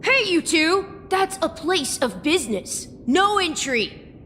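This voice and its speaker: A bossy, boastful female guard from a video game, speaking high and unhurried with theatrical pride. Long reverb trails her voice, and music plays behind. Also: very animated, pushed and bright, slight background noise, heavily acted. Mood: bossy, impatient. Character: haughty female guard boasting of fantasy power armor.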